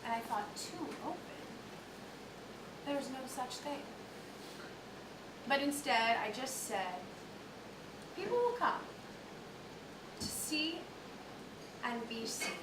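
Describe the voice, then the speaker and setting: A woman's voice: lively intonation, slow pace, long pauses, background noise. A woman in her thirties performing a storytelling monologue on stage, laughing as she tells it and mimicking her customers. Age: 30s. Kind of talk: theatrical monologue. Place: theatre stage with live audience. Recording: roomy theatre sound from a distance. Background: upright bass, audience laughter.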